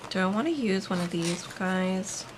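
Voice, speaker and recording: A woman from the Philippines casually narrating as she decorates a planner, chatty and relaxed, with frequent ums. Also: mid-range voice, normal speed, noisy background. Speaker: planner vlogger and shop owner.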